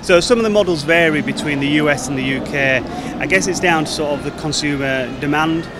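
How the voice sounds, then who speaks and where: A man's voice: fairly high pitch, a little fast. A man in his thirties, a marketing manager, answering interview questions about products at a busy trade show with fluent, promotional talk. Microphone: handheld mic held by interviewer. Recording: noisy trade show floor. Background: crowd chatter and hall noise.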